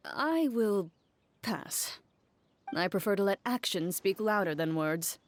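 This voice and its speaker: A woman's voice: medium pitch, sing-song, slow. A woman voicing a reserved, self-assured game character with a dry, nonchalant manner. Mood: wry. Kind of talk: video game voice acting.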